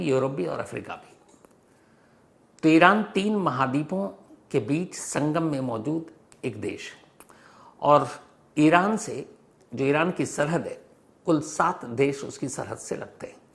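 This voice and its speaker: A man's voice: on the high side, creaky. A man presenting an explanatory news monologue in an emphatic storytelling manner, often posing questions and rephrasing points for clarity. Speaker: host of a news explainer show.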